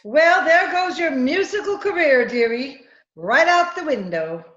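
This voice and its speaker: A woman's voice: sits high, lively intonation, a little slow, fairly bright tone, roomy sound, muffled recording. A woman playing a patient, wry, affectionate housewife in old-time radio comedy, on a video-call recording. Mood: teasing.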